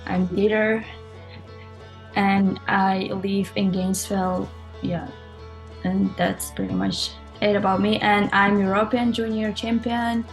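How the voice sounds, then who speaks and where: Young woman, Russian accent, non-native English, flat intonation, informal interview answers, music in the recording. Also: mid-range voice. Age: young adult. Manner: informal, loosely phrased, frequent "like". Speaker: interview guest, college swimmer.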